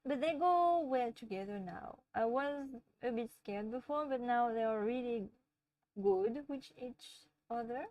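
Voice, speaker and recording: Woman, French accent, livestream host, gentle chatty tone, slightly high voice, unhurried, distant mic. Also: no echo. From France. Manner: gentle, chatty, laughs often, talks softly to her pets. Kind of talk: casual live chat answering viewers.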